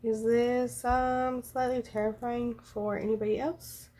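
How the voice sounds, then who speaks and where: A woman with a froggy, hoarse voice chatting casually as she decorates a planner, thinking aloud and counting under her breath. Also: on the high side, level tone, unhurried, warm tone, slight background noise. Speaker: planner vlogger. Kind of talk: casual talk while drawing a journal spread.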